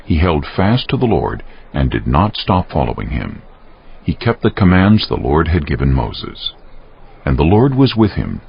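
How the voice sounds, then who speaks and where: A man narrating scripture from a script in a solemn, measured, dramatic storytelling style. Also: a bass voice, sing-song, gravelly, breathy edge. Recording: dull lo-fi audio, faint music underneath.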